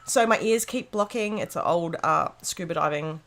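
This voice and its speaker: A woman hosting a casual craft livestream from a room at home, chatty and bubbly, greeting viewers by name, her voice a little hoarse from illness. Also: lowish voice, moderate pace, a bit bright. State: slightly hoarse, losing her voice after being sick. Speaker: livestream host of a planner craft show. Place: room at home with a door open to rain.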